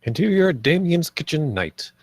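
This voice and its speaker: A man's voice: fairly high pitch, sing-song, moderate pace, darkish tone, no echo, studio quality. A man reading stage directions as scripted narration at a screenplay table read.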